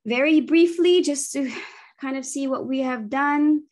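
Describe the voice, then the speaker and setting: A woman's voice: high voice, a little slow, a little mellow. A woman in her forties from the Philippines, a Bisaya speaker, presenting her children's book press over a video call, earnest and thoughtful with frequent ums and self-corrections. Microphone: laptop webcam on video call.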